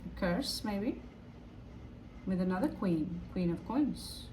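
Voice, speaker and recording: A woman from Norway with a Norwegian accent, giving an improvised tarot reading in a halting way, pausing and repeating her phrases. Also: mid-range voice, expressive, mellow, slight background noise. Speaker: tarot reader.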